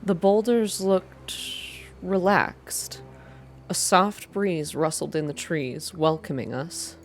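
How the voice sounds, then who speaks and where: A woman's voice: lowish voice, fairly even intonation, takes it slowly, a little hiss or hum. A woman reading a narrative story aloud in an ASMR style. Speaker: reader.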